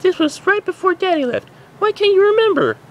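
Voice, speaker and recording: Very high squeaky little unicorn filly. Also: male voice, very animated, brisk, slight background noise. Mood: upset. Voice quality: squeaky falsetto. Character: excitable young unicorn filly, daughter, childishly playful.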